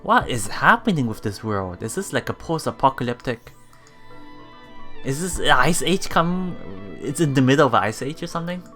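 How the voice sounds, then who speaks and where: Bright-voiced man reading a game aloud. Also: medium pitch, big pitch swings, faint music behind. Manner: halting reading with stumbles and self-corrections. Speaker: let's play streamer. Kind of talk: reading visual novel text aloud with casual reactions.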